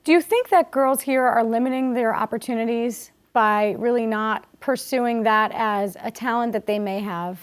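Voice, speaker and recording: Woman hosting a talk show, highish voice, friendly and chatty with her guest. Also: normal speed, hardly any pauses. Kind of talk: interview.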